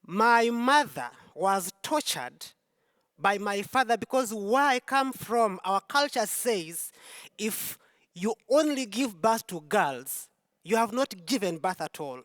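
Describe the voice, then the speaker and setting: A woman's voice: mid-range voice, expressive, slow, fairly bright tone. A woman from Uganda, giving an emphatic, heartfelt personal testimony on a concert hall stage, repeating key phrases for weight. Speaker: speaker at a Pride event.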